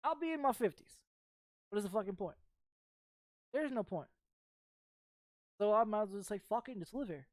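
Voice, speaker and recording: Woman, thirties, from Texas, mid-pitched, casual rambling streamer chat, blunt, heavy swearing, repetitive phrasing, dry close sound. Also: lively intonation, moderate pace, long pauses, a little mellow, dry recording. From Texas, United States. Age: 30s. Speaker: game streamer.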